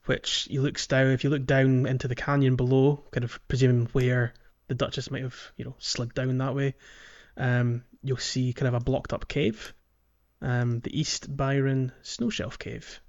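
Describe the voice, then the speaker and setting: A man's voice: mid-range voice, fairly even intonation, normal speed, highs cut off. A man co-hosting a casual podcast, explaining a video game in a hesitant, piece-by-piece way. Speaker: podcast co-host. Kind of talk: casual game walkthrough chat. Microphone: podcast voice recording.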